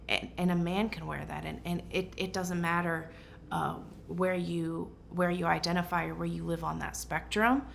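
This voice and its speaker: A woman's voice: deep voice, vocal fry, a little hiss or hum. A woman who grew up in Portugal, speaking earnestly and thoughtfully as a brand founder and designer in an interview. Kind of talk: reflective interview answers.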